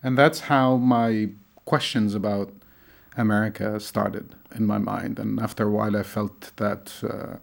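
Low gravelly-voiced man from Tunisia. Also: darkish tone. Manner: thoughtful, hesitant with many fillers. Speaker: lecturer in Arabic studies. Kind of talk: conversational interview.

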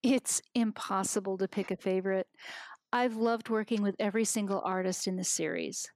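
A woman's voice: medium pitch, moderate pace, no room sound. A woman guest on a talk show, talking casually and with enthusiasm, with some restarts. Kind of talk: casual chat. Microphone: remote recording outside the studio.

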